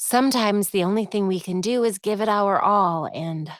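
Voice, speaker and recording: A woman's voice: mid-range voice, normal speed, no room sound, close to an everyday voice. Actress as a young American female crimefighter for a game: focused, wry, tech-savvy, closely recorded and dry. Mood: earnest, focused. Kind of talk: video game voice lines.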